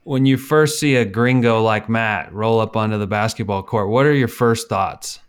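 A man's voice: lowish voice, normal speed, clean tone. Easygoing middle-aged male podcast host. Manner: easygoing, curious questioner. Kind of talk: casual interview chat.

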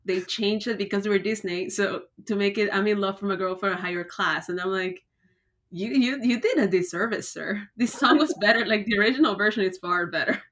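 A woman hosting a podcast over a video call, excitable and quick with her questions. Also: mid-range voice. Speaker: podcast host. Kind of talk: casual interview chat.

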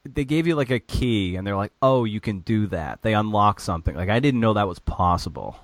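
A man's voice: fairly low pitch, animated, a little fast, no echo. A man hosting a podcast, asking loose, filler-heavy interview questions. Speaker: podcast host.

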